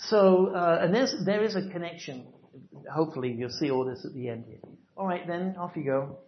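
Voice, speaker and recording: A man talks casually to a group, relaxed and offhand. His voice sits high and moves a lot. He is caught from far off in an echoey room, with a dull, muffled tone and faint ambience. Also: brisk, a little hiss or hum. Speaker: tour presenter.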